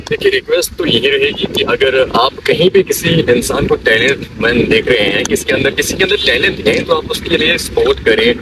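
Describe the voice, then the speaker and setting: A man's voice: mid-range voice, wildly swooping pitch, a little fast, few pauses. A young man from Kishtwar in India giving earnest, repetitive explanations in an informal interview. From Kishtwar, Jammu and Kashmir, India. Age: young adult. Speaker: interviewee, amateur dubbing and mimicry artist. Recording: noisy outdoor recording, distant mic, traffic.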